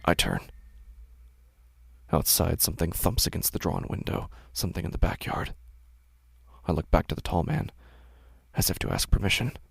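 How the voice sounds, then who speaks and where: A man with a very deep, heavily gravelly voice narrates a horror story in a hushed, slow delivery that often sinks into a whisper. His tone is husky, and the close recording is completely dry. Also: expressive, dry recording. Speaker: narrator and host.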